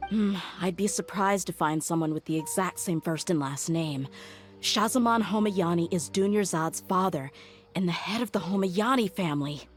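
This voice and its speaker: A woman plays a tough young mercenary with a notably low voice. She reasons through clues aloud, steady, loyal and deliberate. Soft game music sits under her lines. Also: lightly acted. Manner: thoughtful, reasons things out aloud. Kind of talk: game cutscene voice acting.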